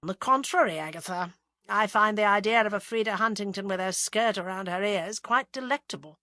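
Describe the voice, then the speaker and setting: A woman voicing a polite, composed, teasing upper-class ex-military man in an audiobook, with measured delivery. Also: medium pitch, bright, forceful tone, no room sound, studio quality, close to an everyday voice. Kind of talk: audiobook character acting.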